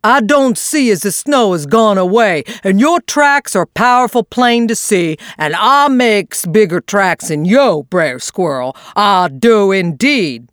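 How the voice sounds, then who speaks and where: A woman voicing a good-natured old male possum who talks in a slow, mournful dialect drawl. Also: medium pitch, expressive, dry recording, studio quality. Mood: puzzled.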